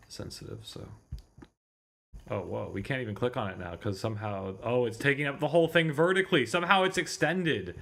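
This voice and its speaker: A man's voice: lowish voice, gravelly, fairly bright tone, a little hiss or hum. A man streaming a visual novel, chatting casually and muttering mildly exasperated asides. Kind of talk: casual livestream chat.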